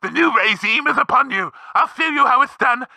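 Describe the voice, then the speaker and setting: A man's voice: very high-pitched, lively intonation, brisk, creaky, pushed and bright, no room sound, heavily acted. A man voicing a self-pitying, grandiose slug who rants indignantly in an absurd radio comedy. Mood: megalomaniac fury.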